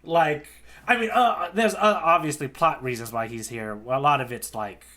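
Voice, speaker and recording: A man's voice: a little high, normal speed, pushed and bright. A man co-hosting a podcast, talking in a casual, slangy way and often repeating words as he thinks aloud. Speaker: podcast co-host. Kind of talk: casual conversational discussion.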